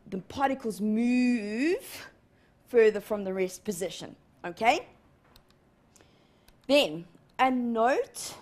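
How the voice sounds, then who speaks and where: Animated woman teaching on live TV. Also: on the high side. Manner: animated, gestures a lot, checks in with "okay?". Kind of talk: live televised lesson. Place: TV studio in front of a large screen.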